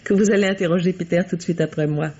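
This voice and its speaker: Evasive murder suspect, dark husky voice. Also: female voice, on the low side, fairly even intonation, quick pace. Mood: wary, protective. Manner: hesitant, pleading, evasive. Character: evasive, wounded young woman suspected of murdering her husband. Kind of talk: 1950s French radio mystery acting. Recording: muffled vintage radio drama sound.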